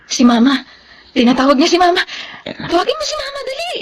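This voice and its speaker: A woman's voice: very high voice, huge pitch swings, a little fast, faint music behind, exaggerated voice. A woman playing a bossy young Filipina older sister in a radio drama, with a nagging, exclaiming delivery. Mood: startled, urgent.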